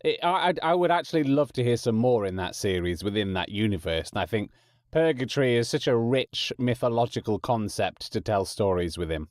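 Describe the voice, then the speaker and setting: An Englishman speaks as a podcast host in a casual, chatty style. His pitch sits in the middle range for a man. The sound is dry, with very little room on it. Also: animated, a little fast, no room sound. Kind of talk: casual chat to listeners.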